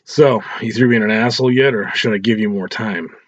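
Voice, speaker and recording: Man voicing a crude wisecracking teenage boy, husky. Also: lowish voice, fairly quick, lightly acted. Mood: irritated, smirking. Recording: roomy echo, dull lo-fi sound.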